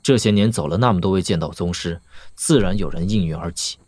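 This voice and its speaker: A man playing an assured young lord and master swordsman in a Chinese wuxia audio drama, measured, analytical and dryly witty. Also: sits low, darkish tone, dry recording. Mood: calm, analytical.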